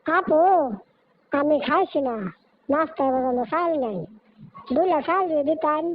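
A dull recording with clear background noise carries a woman acting as a poor, hungry little boy in a cartoon. The voice is high, with speech and an earnest, pleading, caring manner. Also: unhurried. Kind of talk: Bengali moral story cartoon dialogue.

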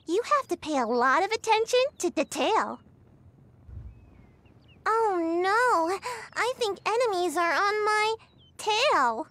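A woman's voice: very high voice, sing-song, takes it slowly, pushed and bright, no room sound, full character voice. A woman voicing a cute, cheeky young fox-girl champion in a video game, with perky, playful short lines. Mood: playful, upbeat.

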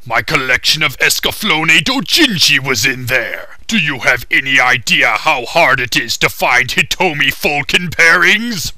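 Man as brash, forceful anime parody warrior. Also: on the high side, lively intonation, a little slow, few pauses, no echo, exaggerated voice. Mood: indignant. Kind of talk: comedic anime parody dub, several characters.

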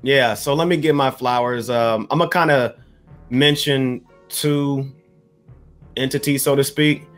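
A man's voice: medium pitch, fairly even intonation, unhurried, a bit bright. Laid-back man over faint music. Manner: laid-back, frequent "yep" and "uh". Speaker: podcast co-host. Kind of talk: casual hip hop podcast chat.

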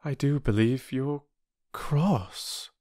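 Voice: mid-range voice, drawn-out words, mellow, voice pushed up. A narrator voicing an earnest young schoolgirl from a children's storybook, imploring and well-spoken. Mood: anxious. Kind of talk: children's storybook character reading.

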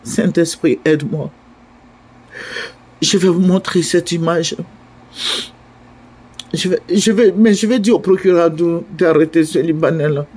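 Older ivorian guy, high pitched, fired up and pleading into his phone at night. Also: unhurried, long pauses, a little mellow, slightly rough. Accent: Ivorian French. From Côte d'Ivoire. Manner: indignant, pleading, repetitive appeals. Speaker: online commentator. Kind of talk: impassioned video address.

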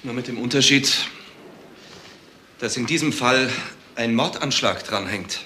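An actor playing a blunt, needling police detective, pointed and bossy, in a German crime radio drama. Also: male voice, mid-range voice, unhurried, pushed and bright, a little hiss or hum.

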